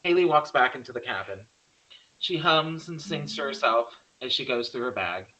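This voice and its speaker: A man's voice: medium pitch, lively intonation, moderate pace, bright, forceful tone, poor audio. A man narrating a horror screenplay, reading out scene headings and stage directions.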